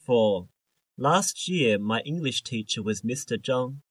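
A man reads English test sentences in a measured, careful way. His mid-pitched voice enunciates each word clearly as scripted lesson material, in a dry recording with no room sound. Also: expressive, no room sound. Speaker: exam listening test reader.

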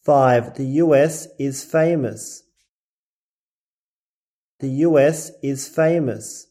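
A man slowly reading English listening-test items with careful, deliberate enunciation. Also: medium pitch, mellow.

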